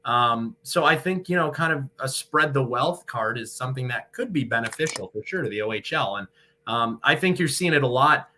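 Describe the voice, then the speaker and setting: A man's voice: mid-range voice, animated, normal speed, bright, forceful tone, slight background noise. A young man, a sports broadcaster, giving articulate, wordy answers as an interview guest. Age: young adult. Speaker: guest, radio hockey play-by-play broadcaster.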